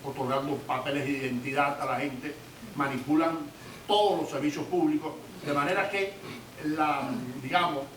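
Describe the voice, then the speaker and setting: A man's voice: a little high, steady tone, normal speed, fairly bright tone. A man in the audience asking a long question with hesitant fillers. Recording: echoey room from far off, faint noise.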